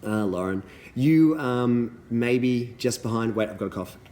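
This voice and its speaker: A man, a little hoarse from losing his voice, giving earnest thank-you messages to youth leaders with many ums and restarts. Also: medium pitch, expressive, a little slow, dark tone.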